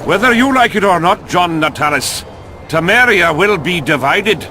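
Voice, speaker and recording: A man playing a commanding statesman in a video game, declaiming forcefully. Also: sits high, animated, normal speed, a little hiss or hum. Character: commanding male envoy of allied northern kingdoms. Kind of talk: video game dramatic oratory.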